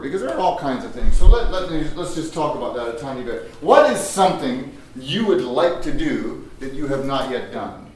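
An older man leading a teacher workshop, chatty and quick to laugh, his voice a little strained from a cough. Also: medium pitch, noisy background. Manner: chatty, laughs often, restarts. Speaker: teacher trainer. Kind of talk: workshop talk with audience. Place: large echoey room with audience. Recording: very echoey room, voice from far off.

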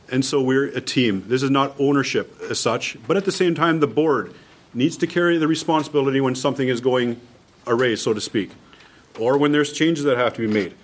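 A man with a Canadian accent giving an informal instructional talk to a group, emphatic and repeating his key points. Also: a little high. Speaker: mission leader presenting at a workshop.

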